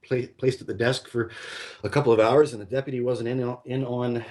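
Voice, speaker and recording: A man's voice: mid-range voice, fairly even intonation, fairly quick, darkish tone. Male guest, mid-range voice, loose rambling storyteller, heavy on fillers and restarts. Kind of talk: conversational storytelling.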